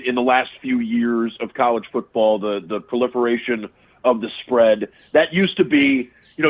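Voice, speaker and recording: A male radio sports broadcaster, a guest on a sports talk show, who breaks down teams and players analytically and restarts and repeats words often. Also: mid-range voice. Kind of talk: casual sports talk interview. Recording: tinny phone line.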